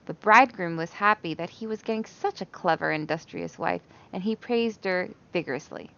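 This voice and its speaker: A woman's voice: lowish voice, normal speed, gravelly, fairly bright tone, highs cut off. A woman reading a children's bedtime story aloud with expression.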